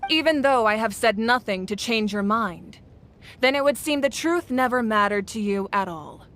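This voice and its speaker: A woman acting a composed, commanding ruler in a video game, speaking with formal, measured authority. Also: on the high side, fairly bright tone, natural voice. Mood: stern, resolute. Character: commanding, composed female ruler of a warrior nation.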